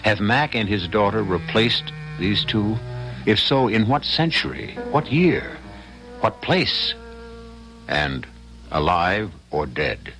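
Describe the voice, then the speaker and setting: Music plays clearly behind a low-voiced man on an old radio recording. He hosts and narrates the drama in a deliberate, suspenseful scripted style, posing questions with theatrical weight. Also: big pitch swings, a bit bright.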